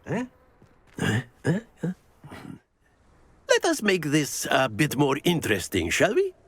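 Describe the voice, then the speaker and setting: An actor playing a jovial wizard professor in a video game, theatrical and playful, with a husky voice. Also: male voice, a little high, wildly swooping pitch, fairly bright tone, slight background noise, heavily acted. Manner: theatrical, playful, with drawn-out tag questions. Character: jovial, theatrical male wizard professor who relishes contests. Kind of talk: video game character acting.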